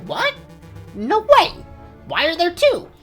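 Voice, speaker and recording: A man's voice: very high voice, huge pitch swings, slow pace, fairly bright tone, faint music behind, pitched above the performer's own voice, heavily acted. A man voicing a cheeky little male sparrow, a flippant assistant with a brash, wisecracking way of talking. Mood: eager, curious.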